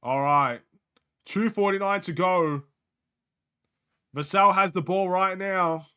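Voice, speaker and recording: Young man, light Australian accent, livestream sports commentary, chatty and excitable, slightly high voice, bright and forceful tone. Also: clean tone. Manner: excitable, chatty, laughs often. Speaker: streamer hosting a game watchalong. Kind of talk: casual live play-by-play commentary.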